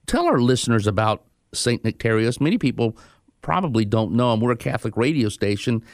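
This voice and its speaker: A man hosting a radio interview, asking questions in a measured, conversational way. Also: mid-range voice, a little mellow, no echo, studio quality. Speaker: radio show host.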